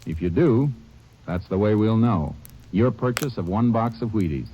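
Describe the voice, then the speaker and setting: Man, deep calm earnest appeal, vintage radio sound. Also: normal speed, husky. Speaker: film star giving a radio message. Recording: dull old radio transcription.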